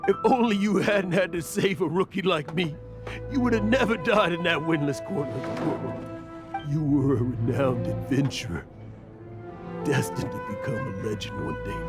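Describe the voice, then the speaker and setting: A man voicing a boastful adventurer hiding deep guilt, in a game cutscene with music behind. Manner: swaggering bluster that cracks into grief. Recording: game soundtrack playing behind.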